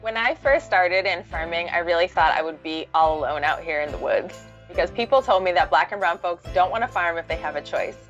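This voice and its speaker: A woman's voice: on the low side, fairly quick, hardly any pauses, a bit bright, muffled recording. A woman narrating about her own work in a documentary, articulate and explanatory. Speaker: farm manager and co-director. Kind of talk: documentary self-introduction narration. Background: music.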